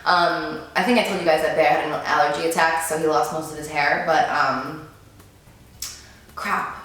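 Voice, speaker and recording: A woman's voice: deep voice, steady tone, moderate pace, fairly bright tone. Chatty young woman in a roomy echo. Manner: chatty, gushing, many "like"s and "um"s. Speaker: beauty vlogger. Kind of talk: casual Q&A chat to camera.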